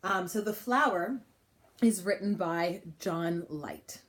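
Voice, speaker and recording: A woman reading a children's picture book aloud in an expressive style, with deliberate, dramatic phrasing and pauses. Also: fairly low pitch. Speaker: storybook reader.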